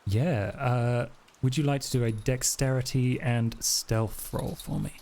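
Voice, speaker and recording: A man's voice: mid-range voice, fairly even intonation, unhurried, creaky, a little mellow. A man narrating a tabletop role-playing game as storyteller, improvising scenes as he goes.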